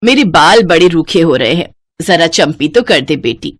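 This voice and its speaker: A woman's voice: low-pitched, lively intonation, moderate pace, no echo. A woman plays an older family matriarch in a cartoon story. She speaks in a motherly, coaxing way to her daughter-in-law, recorded dry and without room sound. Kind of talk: Hindi cartoon story dialogue.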